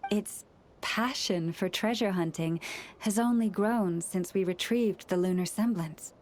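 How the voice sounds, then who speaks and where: A woman playing a gentle, courteous young library caretaker in a video game, speaking formally and patiently in a soft manner. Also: medium pitch, a little hiss or hum, close to an everyday voice. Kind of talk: video game cutscene acting. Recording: music under the voice.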